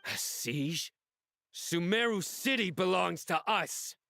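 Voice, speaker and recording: A man playing a stern, suspicious general in a video game, speaking gravely and tersely. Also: high voice, lively intonation, slow pace, sharp tone, faint music behind, heavily acted. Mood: defiant. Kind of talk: scripted video game voice acting.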